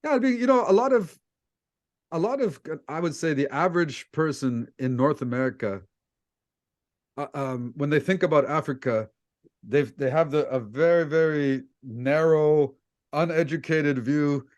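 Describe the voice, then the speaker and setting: A man from Egypt hosts a relaxed, chatty interview. His voice sits a little high for a man. He is opinionated and leans on anecdotes to make his points. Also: moderate pace. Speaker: host, debate coach interviewing a guest. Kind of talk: casual interview chat.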